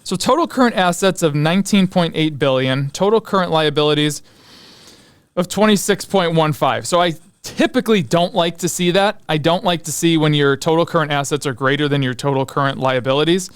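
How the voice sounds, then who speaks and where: Clear-voiced man, casual stock commentary. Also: on the high side, brisk, no echo, clean studio sound. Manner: informal, thinking aloud, muttering asides to himself. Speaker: host of a stock analysis show.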